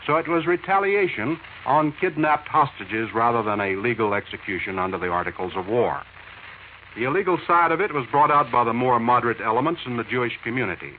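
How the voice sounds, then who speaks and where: A man's voice: mid-range voice, animated, a little fast. Tinny vintage American radio news commentator, male. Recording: tinny, noisy 1940s radio recording.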